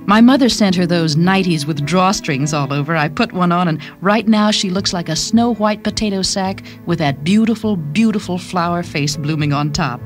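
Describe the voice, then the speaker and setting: A woman's voice: fairly low pitch, normal speed, hardly any pauses, over music, natural voice. An actress playing a doting, chatty young mother in a radio drama, confiding and gushing expressively. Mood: proud, gushing.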